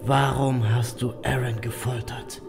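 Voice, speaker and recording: A man's voice: mid-range voice, flat delivery, measured pace, gravelly, background noise. A man playing a grim, wry reaper ferryman who narrates a fantasy horror audio drama. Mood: demanding.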